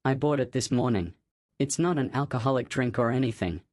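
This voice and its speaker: A man's voice: medium pitch, fairly quick, a little mellow, no echo, studio quality. Man reading a story, matter-of-fact. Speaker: narrator. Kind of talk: reading aloud a first-person online story.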